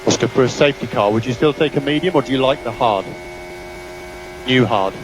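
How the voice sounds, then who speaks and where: A man's voice: mid-range voice, fairly quick. Clipped male race engineer over noisy radio. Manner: clipped, businesslike, technical delivery. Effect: team radio transmission. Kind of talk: terse race instructions and updates over radio. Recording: noisy team radio, engine and vehicle hum.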